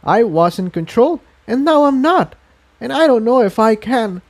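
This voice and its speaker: A man's voice: very high voice, sing-song, normal speed, pitched above the performer's own voice, heavily acted. A man voicing an anxious, self-doubting female pony in a storybook reading, speaking earnestly and fretfully. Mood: anxious, apologetic.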